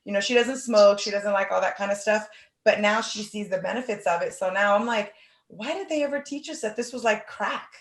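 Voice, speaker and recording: Female podcast host, 30s, from Oakland, chatty and lively; roomy echo on the mic. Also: medium pitch, fairly quick. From Oakland, California. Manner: animated, talks in long runs with fillers like "like". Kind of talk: casual conversation.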